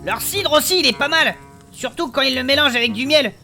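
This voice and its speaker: A woman's voice: sits high, expressive, brisk, creaky, bright, forceful tone, exaggerated voice. A woman voicing a chatty, defensive young tavern worker in a fantasy comedy audio drama, familiar and slangy. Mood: chatty, recounting. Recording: faint music behind the voice.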